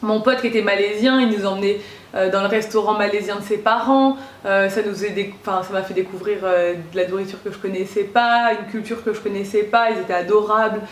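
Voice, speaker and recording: A woman's voice: mid-range voice, fairly even intonation, a little fast. A young woman from Corsica telling a casual storytime, chatty and full of fillers and slang. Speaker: vlogger. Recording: roomy echo.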